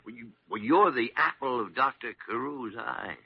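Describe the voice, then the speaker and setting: An actor playing a crafty, meddling old senior doctor in a vintage radio drama, folksy and theatrical, with a hesitant, wheedling delivery. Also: male voice, mid-range voice, expressive, normal speed, creaky, breathy edge. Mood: flattering. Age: older. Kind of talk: 1940s radio drama acting. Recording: muffled vintage radio recording.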